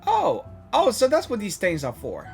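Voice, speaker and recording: A woman's voice: medium pitch, huge pitch swings, normal speed, gravelly, a little mellow, soft background music. A woman giving casual reaction commentary on a video game, giggly and full of exclamations. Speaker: gaming reaction video creator.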